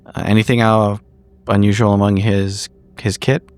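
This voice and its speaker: A man's voice: lowish voice, level tone, normal speed, darkish tone, smooth, dry recording. A man playing a tabletop roleplaying game, talking in character in a dry, understated, hesitant way.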